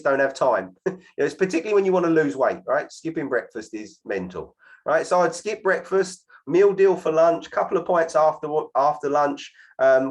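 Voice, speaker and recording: A man around forty, upbeat and persuasive, who tags "right?" onto nearly every line as he pitches. His voice sits at an ordinary middle pitch for a man. He is talking over a video call. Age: 40s. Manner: keeps adding "right?" to sentences, lively and persuasive. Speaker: host of an online presentation.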